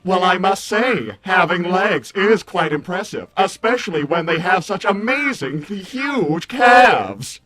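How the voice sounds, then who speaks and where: Man as vain cartoon robot assistant, high-pitched, fussy, formal, wordy, slightly sardonic, faint music underneath. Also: expressive, unhurried, hardly any pauses, sharp tone, heavily acted. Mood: prim, dry. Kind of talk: improvised in-character livestream roleplay.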